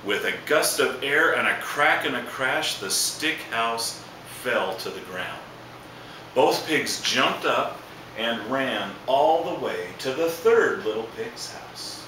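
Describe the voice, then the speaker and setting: A man's voice: mid-range voice, expressive, takes it slowly. A man reading a children's storybook aloud as a storyteller, adding asides. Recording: echoey, distant, noisy room recording.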